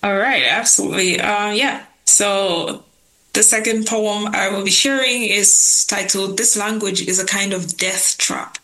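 A woman's voice: medium pitch, fairly even intonation, measured pace, pushed and bright, poor audio. A young woman who is a slam poet, reciting spoken word with rhythmic emphasis and then talking casually in an interview. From Nigerian family.

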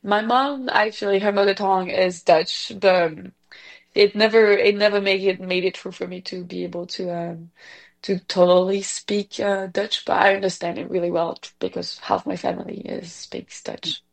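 Belgian-accented French-speaking woman, gravelly. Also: medium pitch, fairly even intonation, normal speed. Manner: chatty, many fillers and restarts. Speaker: executive director, guest. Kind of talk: casual interview chat.

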